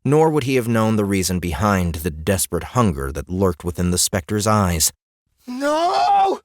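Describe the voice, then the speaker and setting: Male fantasy audiobook narrator, deep register, dramatic phrasing, very dry studio sound. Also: expressive, no echo. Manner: deliberate dramatic phrasing with pauses.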